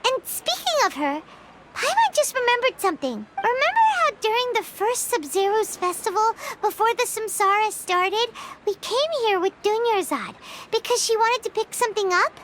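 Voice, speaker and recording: A woman voicing a small, excitable, childlike fairy companion in a video game, squeaky and exclamatory. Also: moderate pace, slight background noise. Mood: recalling, eager. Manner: refers to self in third person, exclamatory. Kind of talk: video game cutscene voice acting. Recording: loud game music underneath. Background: music.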